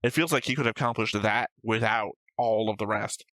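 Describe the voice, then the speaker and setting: A man's voice: on the low side, a little fast, a bit bright, dry recording. A man co-hosting a reaction show, chatting casually and analytically, thinking aloud and swearing freely. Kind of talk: casual analytical chat about an anime episode.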